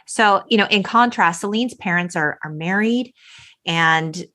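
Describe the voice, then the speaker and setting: A woman's voice: lowish voice, unhurried, pushed and bright. A woman hosting a podcast, recapping a film conversationally and acting out bits of dialogue as she retells it. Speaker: podcast host.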